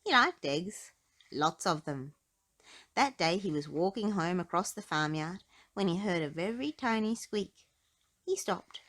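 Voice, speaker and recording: Animated woman reading a children's story. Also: lowish voice, measured pace. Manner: dramatic, animated delivery with emphasis. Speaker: storybook narrator. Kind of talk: expressive read-aloud children's storytelling.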